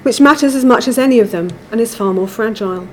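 A woman giving a measured, formal academic lecture from a prepared text. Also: a little high, a little hiss or hum. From England.

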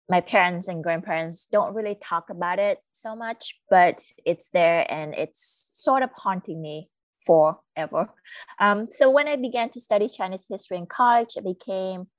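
Low-voiced woman academic, thoughtful and hesitant. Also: no echo. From Vietnam. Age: 30s. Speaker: academic author, podcast guest. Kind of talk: conversational interview answers.

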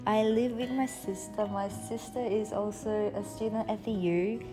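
A woman's voice: medium pitch, steady tone, moderate pace, music in the background, low-quality recording. Chatty young woman, slight Australian accent. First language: not English. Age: 20s. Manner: chatty, many fillers like "um" and "like". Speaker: interviewee, university student. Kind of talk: casual interview answers.

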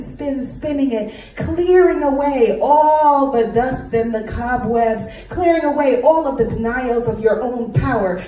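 Female meditation leader, higher-pitched, unhurried, fervent and repetitive, guiding affirmations in a reverberant room. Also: dark tone. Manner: fervent, effusive, incantatory repetition. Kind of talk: guided meditation with spoken affirmations. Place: large indoor room with a group present. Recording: very echoey room, distant mic, noisy.

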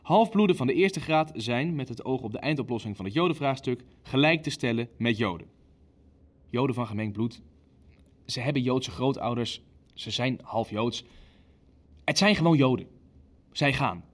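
Male hardliner, acted, mid-pitched, clipped and commanding; an impatient, ruthless Nazi official for naturalistic radio drama. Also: expressive, normal speed, close to an everyday voice. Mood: cold, insistent. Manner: blunt, clipped, authoritative. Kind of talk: Dutch audio drama acting, naturalistic meeting dialogue.

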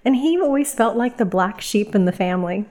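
A middle-aged woman from New York, a podcast guest telling family stories in a relaxed, reflective way, full of fillers and restarts. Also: medium pitch, fairly quick. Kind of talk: casual conversational storytelling.

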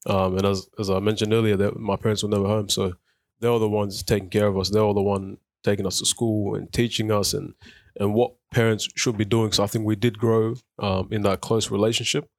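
A man's voice: lowish voice, little pitch movement, fairly quick, dry recording, clean studio sound. A man in his twenties, from South Sudan, being interviewed on community radio about his life, speaking thoughtfully with many fillers and restarts. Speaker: guest. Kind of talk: radio interview, personal story. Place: radio studio.

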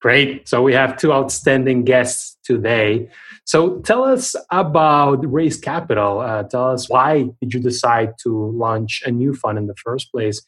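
A man's voice: medium pitch, a little slow, a bit bright. A man hosting an interview, putting structured questions to his guests in a conversational way. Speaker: interviewer, host.

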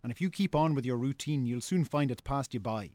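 An actor playing a reproachful, sensible Irish brother in a naturalistic radio drama, speaking in a colloquial, chiding way. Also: male voice, medium pitch, a little fast, warm tone, no echo, lightly acted. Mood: reproachful, scornful.

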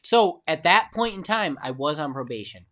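Bright-voiced, joking young man. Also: on the high side, animated, moderate pace. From United States, of Mexican descent. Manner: joking, blunt, swears freely. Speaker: podcast co-host. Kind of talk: casual chat.

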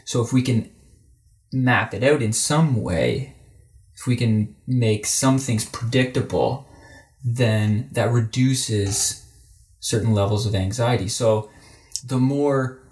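A man with a family counseling background, explaining ideas thoughtfully with many pauses and fillers. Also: mid-range voice, a little mellow. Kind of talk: casual interview talk.

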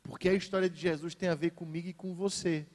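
Brief: male Brazilian pastor, high voice, sermon delivery with wry asides, hall reverb. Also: fairly even intonation, fast, darkish tone. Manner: varies pace for emphasis, ironic asides to the congregation. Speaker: preacher.

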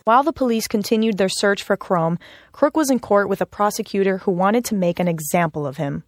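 A woman's voice: medium pitch, brisk, a little hiss or hum. A woman reading scripted radio news narration as a reporter.

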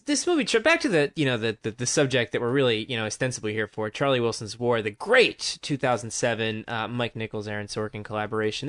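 Animated, quick-talking wry man. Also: mid-range voice, no room sound, studio quality. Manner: wry, jokey, mock-showman asides to listeners. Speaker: film podcast host. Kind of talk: casual comedic film chat.

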